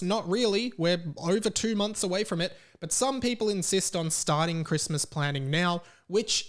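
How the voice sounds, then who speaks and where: A man in his twenties with an Australian accent, hosting a podcast solo in a casual, rambling way with repeated words for emphasis. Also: high voice. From Melbourne, Australia. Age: 20s. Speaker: podcast host.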